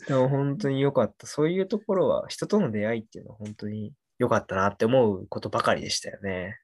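A man's voice: lowish voice, quick pace, no room sound. A man in his twenties chatting casually as a podcast host, speaking in loose but polite speech as a junior does to a senior friend. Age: 20s. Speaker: podcast host looking back on student days. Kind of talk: casual chat between friends.

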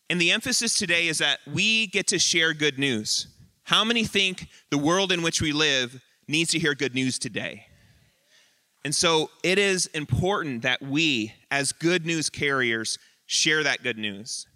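Bright-voiced man preaching from the pulpit. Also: fairly high pitch, dry recording. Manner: earnest preaching with pauses and emphatic short phrases. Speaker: lead pastor. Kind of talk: sermon. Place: church sanctuary.

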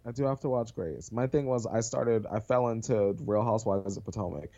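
Casual co-host with a mellow, slightly dull sound; animated and slangy. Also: mid-range voice, a little fast. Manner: animated, slangy, swears and laughs often. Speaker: podcast co-host. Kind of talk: casual chat.

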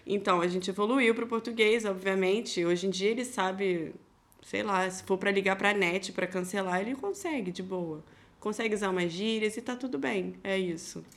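A woman's voice: medium pitch, moderate pace. A young Brazilian woman with a Rio de Janeiro accent, chatting casually as a podcast co-host. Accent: Carioca Brazilian. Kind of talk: casual chat.

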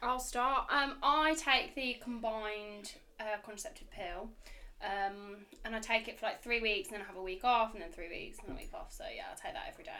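A woman's voice: on the high side, brisk, sharp tone. A twenty-year-old woman talking casually as a podcast guest. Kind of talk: casual chat.

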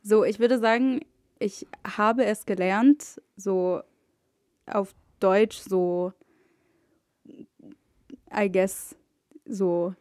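A woman's voice: mid-range voice, steady tone, extremely slow, pauses often, darkish tone, no room sound, studio quality. A woman from Egypt, a native Arabic speaker, chatting as a podcast guest and often slipping English words into her talk. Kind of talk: casual chat.

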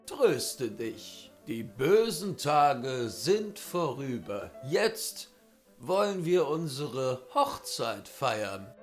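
A man's voice: mid-range voice, very animated, extremely slow, faint music behind, pitched above the performer's own voice. A man voicing a proud, stern king and husband in a fairy tale, speaking in a theatrical, admonishing manner. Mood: consoling. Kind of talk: fairy-tale audiobook character voice.

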